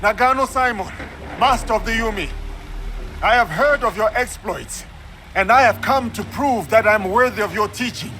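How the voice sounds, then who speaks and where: An actor playing a humble, resolute warrior student in a game cutscene, formal and respectful. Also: male voice, sits high, normal speed, pushed and bright, slight background noise. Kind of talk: dramatic game cutscene acting.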